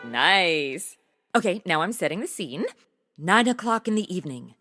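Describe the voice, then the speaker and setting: A woman's voice: lowish voice, a bit bright, no room sound, exaggerated voice. A young woman playing a chatty, ditzy modern influencer who hosts and banters in a comedy audio drama. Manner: breezy, casual valley-girl slang. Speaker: host.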